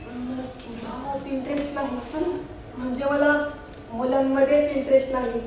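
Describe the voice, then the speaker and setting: A woman acting a frank young girlfriend speaking deliberately and confidingly in a stage play. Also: sits high, steady tone, a little mellow, lightly acted. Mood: confessing. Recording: echoey stage hall from far, faint noise.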